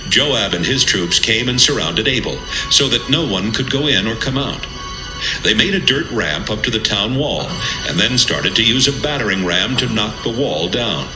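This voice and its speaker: Deep, animated male Bible narrator. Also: moderate pace. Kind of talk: dramatic scripted Bible narration. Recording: echoey, music bed behind.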